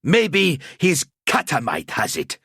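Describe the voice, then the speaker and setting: A man putting on a gruff, foreign-accented accuser. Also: fairly high pitch, huge pitch swings, fairly bright tone, no echo, clean studio sound, voice pushed up, full character voice. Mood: sneering. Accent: Bretonnian, fantasy-foreign. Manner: slow and accusing. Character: gruff scheming foreign man, middle-aged.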